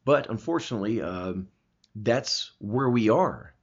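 Husky guy hosting a talk show, explaining his opinions, emphatic, kinda muffled lo-fi sound. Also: fairly low pitch, expressive, unhurried. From Germany. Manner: explanatory, emphatic, rhetorical questions. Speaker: talk show host answering listener questions. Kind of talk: opinionated conversational commentary. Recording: dull, lo-fi audio.

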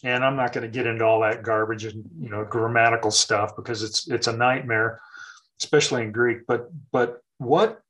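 A man's voice: medium pitch, fairly even intonation, moderate pace, fairly bright tone, low-quality recording. A middle-aged man talking casually about faith and scripture, thoughtful, with many fillers and restarts.

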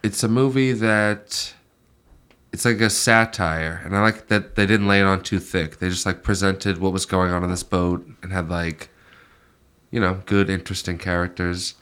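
A man's voice: low voice, steady tone, normal speed, a bit bright, clear, resonant tone. A man co-hosting a podcast in casual, easygoing chat, a little nasal from a stuffy nose. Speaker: podcast co-host.

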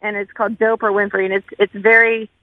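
A woman's voice: medium pitch, flat delivery, quick pace, dry recording. A woman calling a radio show by telephone as an audition contestant, on a tinny, narrow line.

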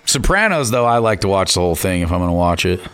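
Forties male co-host, lowish voice, dry and easygoing. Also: big pitch swings, quick pace, fairly bright tone, clear, resonant tone, soft background music. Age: 40s. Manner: laid-back, dry teasing. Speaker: podcast co-host. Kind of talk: casual chat.

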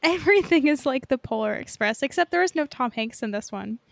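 A woman's voice: high-pitched, animated, a little fast, creaky, no room sound. A young woman co-hosting a podcast, chatting casually about films in a rambling way full of filler "like". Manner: rambling, peppered with "like". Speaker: podcast co-host. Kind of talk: casual film review chat.

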